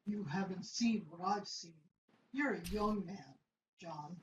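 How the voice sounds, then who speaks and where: A woman voicing a wary, dense older male disciple in a radio play, talking slowly and doubtfully. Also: lowish voice, roomy sound, highs cut off. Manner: slow, doubtful and grudging.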